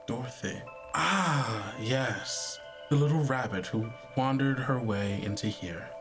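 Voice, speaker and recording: Man playing a measured, condescending program overseer. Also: medium pitch, over music. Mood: smooth, knowing. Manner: measured, formal, smoothly condescending. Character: polite, condescending head of a sinister rehabilitation service.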